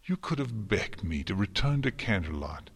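A man's voice: fairly low pitch, big pitch swings, moderate pace, breathy edge. A man voicing a distraught young female unicorn student in a story, emphatic, bitter and anguished. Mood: hurt, accusing. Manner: emphatic, anguished, sarcastic delivery.

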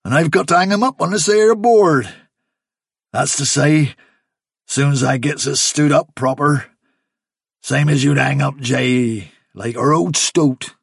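A man's voice: on the high side, slow, creaky, slightly rough, dry recording, studio quality, pitched above the performer's own voice, full character voice. A man voicing a cruel, taunting country man in a broad rural English dialect, reading a storybook. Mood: gloating. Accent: rural English dialect put on. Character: menacing rustic man, cruel and mocking.

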